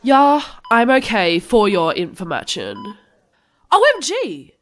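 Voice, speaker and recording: A woman's voice: fairly high pitch, wildly swooping pitch, slow pace, heavily acted. A woman voicing a gushing, melodramatic teenage goth girl vampire, narrating parody fan fiction in an over-the-top dramatic way. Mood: dramatic, indignant. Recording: loud music behind the voice.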